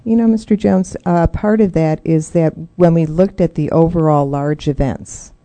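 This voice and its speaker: Very dark voice, measured, explaining policy, public meeting. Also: medium pitch, steady tone. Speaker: staff member presenting to a board.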